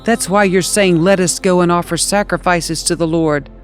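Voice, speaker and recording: A woman's voice: lowish voice, steady tone, moderate pace, soft background music, natural voice. Harsh, commanding ancient king played by a female reader, scornful and imperious, for scripture audio drama. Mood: angry shouting. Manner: imperious, scornful, barking orders.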